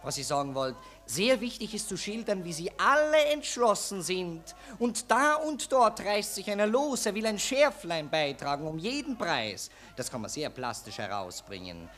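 A man's voice: high-pitched, big pitch swings, normal speed, hardly any pauses, a bit bright. A man playing a pompous, self-important, cynical Viennese newspaper reporter in a 1940s German radio play, declaiming emphatically.

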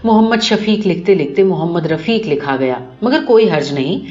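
A woman reading a short story aloud in an expressive, scripted narration style. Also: fairly low pitch. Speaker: audiobook narrator. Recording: muffled, roomy recording with music behind. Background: music.

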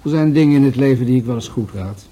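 Casting: male actor for a thoughtful older commissioner, soft-edged voice, measured and polite, radio drama with slight background noise. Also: mid-range voice, close to an everyday voice. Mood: pondering. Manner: measured, musing, hesitant phrasing. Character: musing, courteous older male police commissioner with rheumatism. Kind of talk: radio play acting. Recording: noisy radio play recording with clicks.

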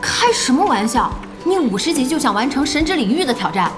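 Feisty, animated young female boss. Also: sits high, fairly quick, music in the background. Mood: incredulous, indignant. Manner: exclamatory, scolding, teasing. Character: feisty young female internet cafe boss, quick-tempered and bossy. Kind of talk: Chinese audio drama acting.

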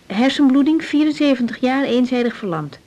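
A woman playing a young, harried doctor in a radio drama, expressive and full of questions, emotional and insistent. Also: sits high, brisk, slight background noise. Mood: businesslike, insistent. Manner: expressive, questioning, stammers when upset. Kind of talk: 1980s radio drama acting.

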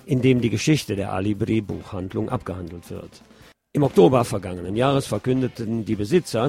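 Low gravelly-voiced male radio host. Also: mellow. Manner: measured, opinionated, unhurried. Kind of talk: radio news commentary.

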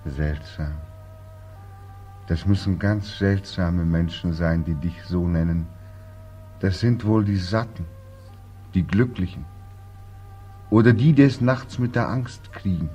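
An actor playing a bitter, exhausted, despairing young soldier home from war in a 1940s German radio drama, with a weary, brooding delivery. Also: male voice, sits low, takes it slowly, long pauses, dark tone. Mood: bitter, accusing. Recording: muffled 1940s radio recording, faint music.

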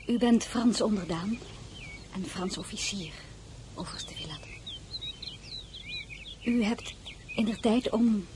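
An actress playing a dignified, wistful and wary crown princess in a radio drama, speaking formally and measured, often dropping to a breathy murmur. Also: female voice, medium pitch, a little mellow, a little hiss or hum, close to an everyday voice. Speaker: lead actress and first-person narrator. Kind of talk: Dutch radio drama acting.

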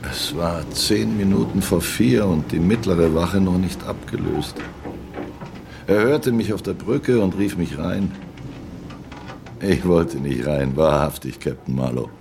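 Grizzled, low-voiced old first officer. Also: male voice, a little slow, gravelly, noisy background. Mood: resentful. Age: older. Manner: grudging, salty, mimicking his captain. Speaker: actor in a radio play. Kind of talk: dramatic first-person recollection in a radio play.

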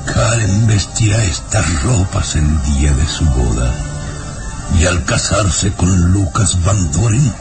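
An actor with a Mexican accent playing a menacing, obsessive, imperious baron in a theatrical old radio serial. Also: male voice, sits low, animated, takes it slowly, music in the background, full character voice. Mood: brooding, obsessed.

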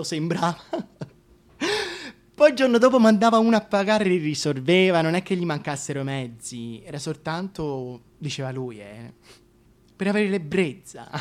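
A man's voice: on the high side, lightly acted. A man playing a gossipy, wistful former mistress of a king in a solo radio play, chatty and confiding. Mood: confiding, nostalgic. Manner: chatty, confiding, colloquial, hesitant. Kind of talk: solo radio theatre monologue.

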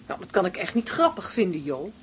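A frightened, bewildered woman in a radio drama. She is hesitant and pleading, in broken phrases with a very animated pitch. There is faint noise on the old recording. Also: brisk. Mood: uneasy. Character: frightened, bewildered woman cornered by her tormentor. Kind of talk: Dutch radio play acting.

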